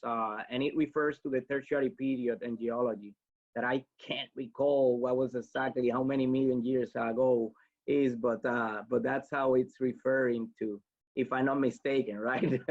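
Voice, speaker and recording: Gravelly man, muffled. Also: medium pitch. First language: Spanish. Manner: halting, many restarts, self-deprecating. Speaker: webinar instructor. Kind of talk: answering audience questions in a live webinar.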